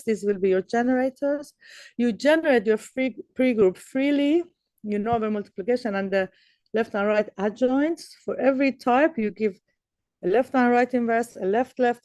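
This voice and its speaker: A woman's voice: a little high, darkish tone, no echo. A woman whose mother tongue is Persian explains theory as an academic speaker, enthusiastic and checking that listeners follow. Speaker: academic speaker giving a research talk. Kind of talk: explanatory lecture with question and answer.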